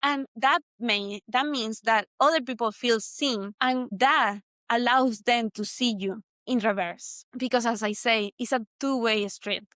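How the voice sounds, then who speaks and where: A woman's voice: a little high, unhurried, hardly any pauses, a bit bright, no echo. A woman from Venezuela with a Venezuelan Spanish accent, hosting a solo motivational talk in an earnest, reflective way that repeats points for emphasis. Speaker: podcast host.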